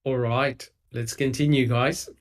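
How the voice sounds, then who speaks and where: A man's voice: mid-range voice, fairly even intonation, a little slow. A man co-hosting a podcast, talking heatedly and emphatically, swearing and mocking people with quoted lines. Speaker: podcast co-host. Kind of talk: casual true-crime discussion.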